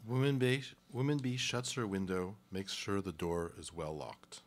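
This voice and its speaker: A man with a fairly low voice serves as narrator of a radio play. He reads scripted stage directions between scenes. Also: normal speed.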